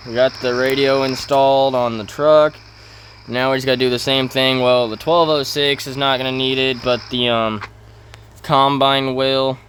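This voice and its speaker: A man giving casual role-play gameplay commentary in a halting way, thinking aloud as he hosts. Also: medium pitch, fairly bright tone, slight background noise. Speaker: gaming video host.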